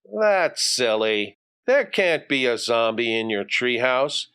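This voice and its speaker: A man's voice: mid-range voice, big pitch swings, slow pace, a bit bright, clear, resonant tone. A man voicing a dismissive young sister in a storybook, smirking like a know-it-all. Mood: smug, dismissive. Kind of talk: storybook character voice.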